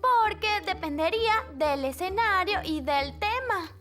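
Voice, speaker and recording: Very high, courteous cartoon girl. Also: expressive, a little slow, fairly bright tone, heavily acted. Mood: polite, patient. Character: polite, helpful young girl in a children's cartoon. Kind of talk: animated cartoon dubbing. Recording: background music under the voice.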